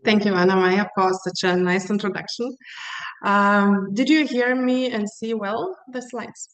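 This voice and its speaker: Lithuanian lady doing an online talk, unhurried storytelling, echoey room, bit far from the mic. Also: mid-range voice, steady tone. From central Lithuania. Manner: unhurried, storytelling with rhetorical questions. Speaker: small hospitality business owner, guest speaker. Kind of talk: prepared webinar presentation. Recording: roomy echo, distant microphone.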